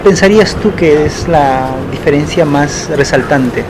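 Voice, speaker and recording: A man interviewing a guest in a casual, friendly way, with quick prompts. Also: fairly high pitch. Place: outdoors in a city. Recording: outdoor street noise.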